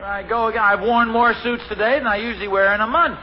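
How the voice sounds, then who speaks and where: A man's voice: sits high, steady tone, fast talker, natural voice. A man playing an easygoing, flustered family father in a 1950s radio sitcom, complaining with a chuckle, on a dull old radio recording with hiss. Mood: exasperated. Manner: exasperated, chuckling, comic complaints.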